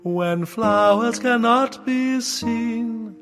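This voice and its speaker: A woman singing an old folk song slowly and gently. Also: on the high side. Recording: singing over instrumental music.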